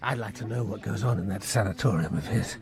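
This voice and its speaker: A man's voice: lowish voice, fast, gravelly, a little mellow. An actor playing a jittery, hard-drinking upper-class English military man in a radio drama, with a blustering, stammering manner. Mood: agitated, bitter. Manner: blustering, stammering, slurred old-fashioned slang.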